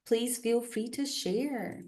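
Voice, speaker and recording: Slow-speaking Scottish woman hosting a video call. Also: a little high, darkish tone. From Scotland. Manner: friendly, chatty, with frequent fillers. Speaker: webinar host. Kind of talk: casual online session hosting.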